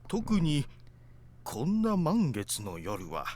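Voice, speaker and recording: An actor playing a werewolf disguised as a security guard, cautioning in an ominous way in a visual novel. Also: male voice, fairly high pitch, huge pitch swings, a little slow, dark tone, heavily acted. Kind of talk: Japanese visual novel acting.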